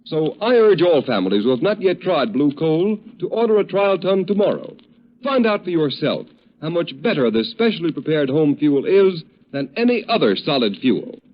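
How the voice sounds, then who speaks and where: A man announcing a 1930s radio advertisement with polished, persuasive sales delivery. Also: high-pitched. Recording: dull old radio recording.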